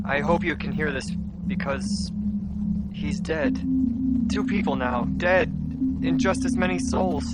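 A man's voice: on the high side, close to an everyday voice. Actor as an anxious young male colony technician. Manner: halting, earnest, self-doubting. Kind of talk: sci-fi audio drama acting.

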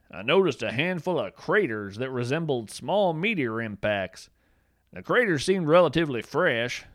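A man's voice: mid-range voice, a bit bright, no room sound. A man reading a scripted, whimsical nature story in a wry, playful storytelling manner, on a close studio mic. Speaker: podcast narrator.

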